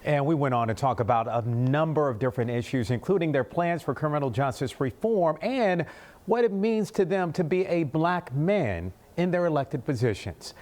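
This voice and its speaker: An American man reports live from a news studio. His voice sits a little higher than most men's, and he speaks as a TV anchor introducing his story. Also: animated, normal speed, darkish tone.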